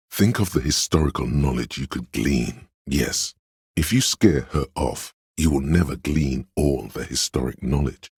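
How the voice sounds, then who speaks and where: An actor playing a pedantic encyclopedic inner voice in a video game, a man reciting obscure facts in a measured, erudite, matter-of-fact way. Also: no echo, clean studio sound, exaggerated voice. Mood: erudite, insistent. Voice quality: very deep, gravelly, husky. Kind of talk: scripted game narration of trivia and facts.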